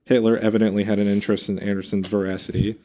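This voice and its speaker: Low-voiced man narrating history on a podcast. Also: level tone, warm tone, a little hiss or hum. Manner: measured storyteller with casual asides. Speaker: podcast host. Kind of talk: reading a scripted history narration.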